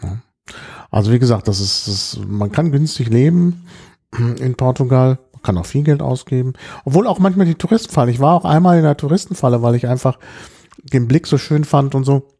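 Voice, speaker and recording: A middle-aged man chatting casually about his travels, hesitant and often clearing his throat. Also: medium pitch, animated, brisk, warm tone. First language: German. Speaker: podcast guest telling about his trip. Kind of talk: casual chat, travel anecdotes.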